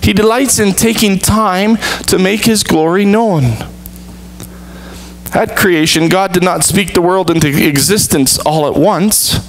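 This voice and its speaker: A man in his thirties, a pastor, preaching an earnest sermon with measured, deliberate pauses from a church stage. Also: high-pitched, a little hiss or hum, low-quality recording.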